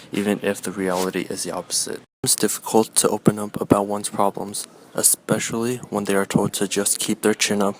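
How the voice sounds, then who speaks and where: A man with a low, gravelly voice reads a scripted documentary narration. His delivery is measured and explanatory, with very little rise and fall, and a faint hiss sits under the recording. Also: low-quality recording.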